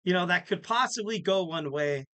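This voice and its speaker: A man hosting a wrestling podcast alone, rambling cheerfully with many fillers in a slightly raspy voice, close to the mic. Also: sits high, animated, normal speed, a bit bright, dry recording. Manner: rambling, filler-heavy, upbeat promo talk. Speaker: podcast host. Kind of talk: casual solo wrestling preview.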